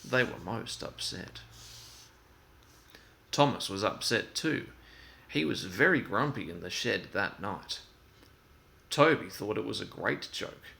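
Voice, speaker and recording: A man reading a children's story aloud in an expressive storytelling style. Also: fairly low pitch, creaky.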